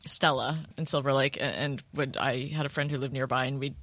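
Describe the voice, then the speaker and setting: A woman's voice: very deep voice, steady tone, a little fast, a bit bright, no echo. A woman co-hosting a podcast, chatting casually and asking eager, curious questions. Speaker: podcast co-host.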